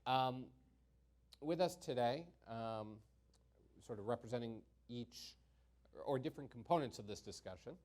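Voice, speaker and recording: A man's voice: mid-range voice, a little mellow. A man moderating a panel discussion, introducing the guests with frequent ums and uhs. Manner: halting, many ums and uhs.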